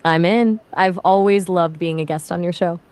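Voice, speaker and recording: A woman's voice: on the low side, darkish tone. Friendly, attentive synthetic woman's voice. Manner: friendly, quick and attentive, asks follow-up questions. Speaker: AI conversation partner. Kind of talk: casual chat.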